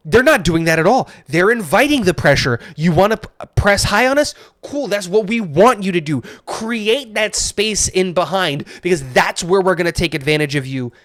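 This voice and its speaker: Animated, opinionated man, fairly high voice. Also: no echo, studio quality. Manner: animated, opinionated, fast bursts with many fillers. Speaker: podcast co-host. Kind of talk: casual sports podcast chat.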